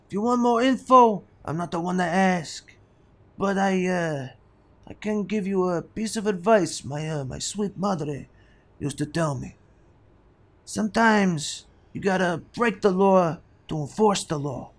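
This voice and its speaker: A high-pitched gangster boss for a comedy audio drama. He blusters and wisecracks, quick to take offense and obsessed with his food. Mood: gruff advice. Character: blustering Italian-American mob boss, food-obsessed, touchy.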